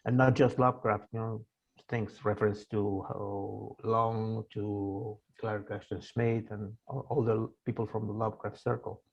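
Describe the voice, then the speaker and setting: A man in his sixties from Argentina, a native Spanish speaker, answering interview questions in a halting way with many fillers and restarts. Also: fairly low pitch, little pitch movement, vocal fry, slightly rough, lo-fi sound. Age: 60s. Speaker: guest author. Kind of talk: casual interview.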